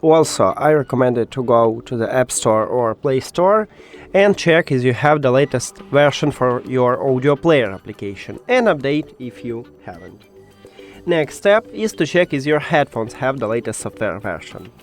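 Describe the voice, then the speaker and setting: Man giving a lively-pitched tech tutorial over music. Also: moderate pace. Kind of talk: step-by-step spoken tutorial.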